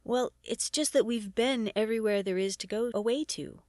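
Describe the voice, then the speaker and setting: A woman's voice: a little high, normal speed, darkish tone, dry recording, studio quality, natural voice. A woman voicing an irritable, determined young male spellcaster in a storybook reading, curt and exasperated. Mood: dry, softening.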